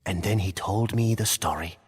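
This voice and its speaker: A man giving solemn, measured, scripted dramatic narration in the manner of a storyteller for a game cutscene. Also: fairly low pitch. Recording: music playing under the voice. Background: clear background music.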